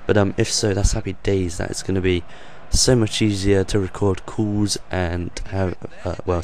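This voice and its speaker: Croaky young man rambling over gameplay. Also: low voice, darkish tone, slight background noise. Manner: rambling, hesitant, many ums and uhs. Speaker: gaming channel host. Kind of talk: off-the-cuff voice-over commentary.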